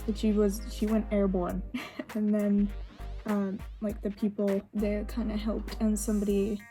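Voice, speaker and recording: A woman's voice: medium pitch, level tone, measured pace, warm tone. A young woman from Sweden, a native Swedish speaker, chatting casually in English while getting ready, with lots of fillers and laughs. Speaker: vlogger. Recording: faint music behind.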